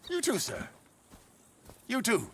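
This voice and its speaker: Very animated, genteel gentleman. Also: very high-pitched, slow pace, a little hiss or hum, exaggerated voice. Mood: grateful. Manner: polite, wordy, gently self-mocking. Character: genteel, self-deprecating, enthusiastic nature photographer, adult man. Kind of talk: video game cutscene acting.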